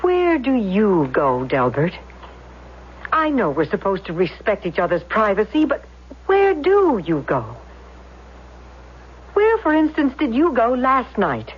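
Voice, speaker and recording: An actress playing a troubled, suspicious wife, hesitant and probing, in a 1970s radio drama. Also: female voice, medium pitch, huge pitch swings, slow pace, pauses often, husky, full character voice. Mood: tense, accusing. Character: troubled, suspicious wife confronting her husband. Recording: muffled old radio recording.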